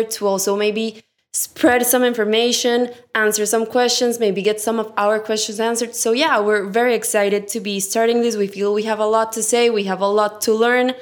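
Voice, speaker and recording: A young woman from Colombia with a Colombian Spanish accent, co-hosting a casual podcast and talking eagerly, often with "like". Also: on the high side. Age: young adult. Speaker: podcast co-host, architecture intern.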